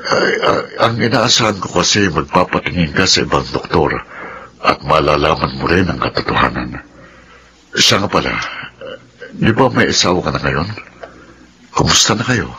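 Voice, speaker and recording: An actor playing a grave, guilty doctor confessing in a radio drama. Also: male voice, extremely low pitch, expressive, normal speed, pauses often, bright, forceful tone, a little hiss or hum, heavily acted. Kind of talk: Filipino radio drama acting.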